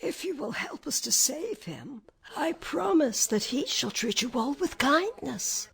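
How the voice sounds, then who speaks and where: A woman's voice: high-pitched, big pitch swings, measured pace, gravelly, pitched above the performer's own voice, exaggerated voice. A woman voicing an eager, helpful scarecrow stuffed with straw, earnest and persuasive, in a storybook.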